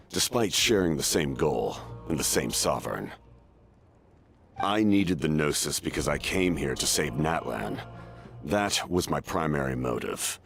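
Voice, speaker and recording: A man playing an ancient, cursed immortal warrior in a video game, speaking gravely and formally in measured, weary, gravelly tones. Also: a bass voice, exaggerated voice.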